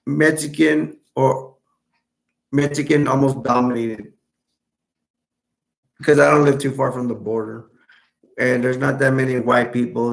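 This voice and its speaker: A young man from Texas chatting casually on a live stream, answering viewers in a rambling, halting way with many restarts. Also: mid-range voice, vocal fry, low-quality recording. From Texas, United States.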